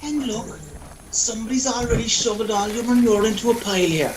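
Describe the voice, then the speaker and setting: A man playing a scheming, excitable Irish countryman in a radio play, talking in a colloquial, cajoling way. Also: sits high, brisk, background noise, close to an everyday voice. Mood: coaxing, sly. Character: scheming, excitable Irish countryman sneaking about a horse farm. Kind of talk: radio play acting and first-person storytelling.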